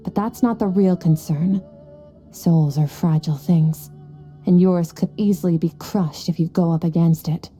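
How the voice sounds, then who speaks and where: A woman's voice: lowish voice, measured pace, warm tone, breathy edge, soft background music. A woman playing a cold, aloof and powerful young female warrior in a video game, curt, detached and condescending. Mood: grave, detached.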